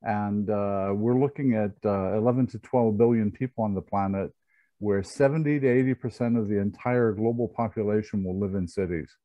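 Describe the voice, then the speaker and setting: Low-voiced older man, muffled call audio. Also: mellow, no echo. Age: 60s. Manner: halting, reflective delivery. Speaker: company chairman, presenter. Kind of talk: informal slide presentation. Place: online video conference. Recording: dull, lo-fi video call audio.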